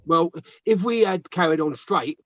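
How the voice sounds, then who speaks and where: A man's voice: high-pitched, unhurried, no room sound, dull audio. A man acting a flustered middle-aged dredger lookout in a courtroom play, stammering, repetitive and muddled. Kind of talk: table read of a courtroom play.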